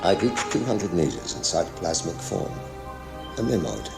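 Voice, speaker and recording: An actor playing a traumatised pilot giving halting, haunted testimony in a radio play. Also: male voice, low-pitched, sing-song, creaky, music in the background, heavily acted. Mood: tense recounting. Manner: halting, haunted, broken phrasing. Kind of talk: radio play dramatic monologue.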